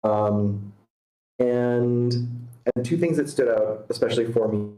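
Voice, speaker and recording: A man giving casual, constructive feedback on a screenplay, halting as he thinks aloud. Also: medium pitch, mellow, reverberant, poor audio. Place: online video meeting.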